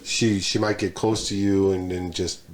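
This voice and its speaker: A man's voice: low-pitched, flat delivery, moderate pace, a little hiss or hum. A man co-hosting a podcast in casual chat, joking and swearing as he tells stories. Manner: profane, joking, storytelling. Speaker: podcast co-host.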